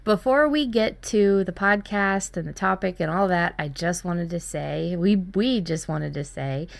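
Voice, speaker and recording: A woman's voice: fairly low pitch, unhurried, creaky. A woman hosting a podcast, chatting casually and often rephrasing herself as she explains. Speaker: podcast host.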